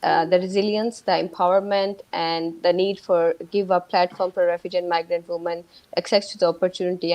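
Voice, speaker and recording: A woman's voice: lowish voice, a little mellow. A middle-aged woman with a Pakistani accent, a guest on a video-call panel, talking earnestly in long run-on sentences. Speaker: guest, founder of a women's network. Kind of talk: casual online panel talk.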